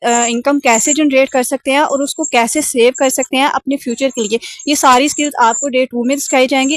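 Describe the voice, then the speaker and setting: Female sales coach, higher-pitched voice, closely dry sound, explanatory and wordy call pitch. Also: no echo. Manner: wordy, instructive, mixes in many English terms. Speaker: sales coach pitching an online training course.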